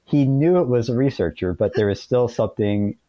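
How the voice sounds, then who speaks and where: A man's voice: mid-range voice, animated, fairly quick, dark tone, no room sound. A man speaking as an interview guest, explaining things casually through informal anecdotes. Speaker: podcast guest, author.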